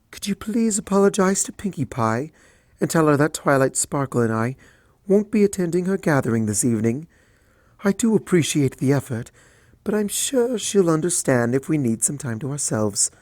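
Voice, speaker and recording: A man voicing a gentle, regal, motherly pony princess in a fanfic reading, calm, hushed and kindly. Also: on the high side, moderate pace, no echo, clean studio sound, pitched above the performer's own voice.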